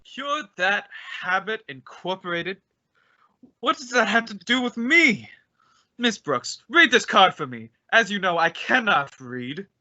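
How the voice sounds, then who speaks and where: A man performs a blustering, pompous principal in a radio comedy. The delivery is theatrical and forceful, leaping from mock-solemn to outraged. The sound is dry and slightly muffled. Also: high-pitched, sing-song, a little slow, no room sound, exaggerated voice. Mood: indignant, puzzled. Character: pompous, blustering male high school principal, easily outraged. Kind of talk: old-time radio comedy acting. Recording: dull, lo-fi audio.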